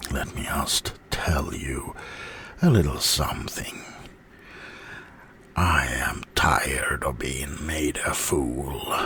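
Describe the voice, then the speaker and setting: An offended, sulky werewolf, acted out by a man for an ASMR roleplay, speaks in an extremely deep voice. He is halting and wounded, often sinking into a whisper. The pace drags, and some noise sits behind the voice. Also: a bit bright, heavily acted. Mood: quietly menacing. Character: offended, sulky adult male werewolf being interviewed. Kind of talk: ASMR character roleplay monologue.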